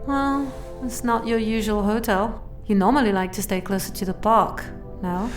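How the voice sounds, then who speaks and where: An actress playing a guarded, concerned woman in an audio drama, restrained and direct, gently insistent. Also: medium pitch, fairly even intonation, normal speed, soft background music, close to an everyday voice.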